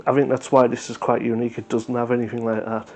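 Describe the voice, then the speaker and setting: Flat-toned man reviewing casually. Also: mid-range voice, fast. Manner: hesitant, rambling, matter-of-fact. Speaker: YouTube reaction host.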